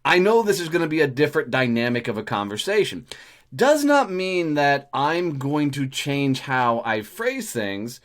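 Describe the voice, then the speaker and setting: A middle-aged man hosting a podcast, talking bluntly and swearing freely in casual conversation. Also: a little high. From Texas, USA. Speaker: podcast host.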